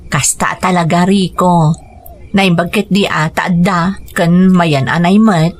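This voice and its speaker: An actress playing a gentle, patient mother and wife in a radio drama, speaking with a Filipino accent. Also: fairly low pitch, steady tone, a little slow, a little hiss or hum, natural voice. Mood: warm, domestic. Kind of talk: radio drama acting in domestic scenes. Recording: music bed behind radio drama dialogue.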